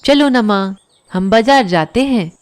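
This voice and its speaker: A woman's voice: on the high side, animated, measured pace, slight background noise. A woman voicing a young schoolboy bird in a cartoon, an earnest, pleading and obedient son. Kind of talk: Hindi cartoon voice acting. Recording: loud music behind the voice.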